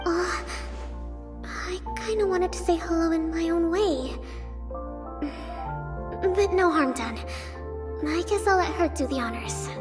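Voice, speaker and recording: A woman playing a gentle young priestess and strategist in a game, soft-spoken, gracious and modest. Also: very high-pitched, slow, pauses often, over music. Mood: sheepish.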